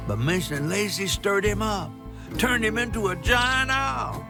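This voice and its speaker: A storybook narrator tells a dark folk tale for a video game. His voice sits fairly high for a man, measured and dramatic, with music clearly behind it. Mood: dramatic storytelling.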